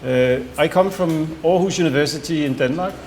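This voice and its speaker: A middle-aged man giving an academic conference talk in a measured, thoughtful way, pausing with fillers and correcting himself as he goes. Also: a little high, darkish tone. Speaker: conference speaker, researcher. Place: conference hall with audience. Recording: echoey hall, faint background noise.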